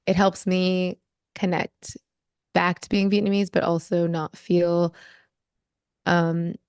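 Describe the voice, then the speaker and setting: A woman's voice: lowish voice, flat delivery, darkish tone, clean tone, no echo, clean studio sound. A young American woman, an author, reading her poem aloud and then reflecting on it thoughtfully in an interview over a video call. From United States. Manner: measured, thoughtful, rhythmic reading.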